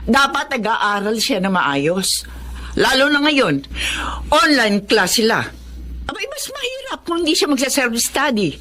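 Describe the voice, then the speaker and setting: High, nagging mother. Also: big pitch swings, a little slow, bright, forceful tone, full character voice. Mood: exasperated complaining. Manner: scolding, complaining. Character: nagging, exasperated mother of grown and teenage children. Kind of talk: Tagalog radio drama acting.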